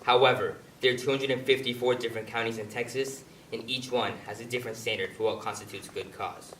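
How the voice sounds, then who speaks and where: A man's voice: medium pitch, fairly even intonation, quick pace, fairly bright tone. A man delivering scripted, informational narration on stage. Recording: echoey room, distant mic, faint noise.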